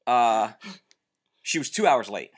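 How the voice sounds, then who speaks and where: A young man with a loud, booming voice, telling a long story in casual chat, rambling, with constant "like" and "dude" fillers. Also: animated, takes it slowly, breathy edge. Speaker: co-host recounting a bookstore event.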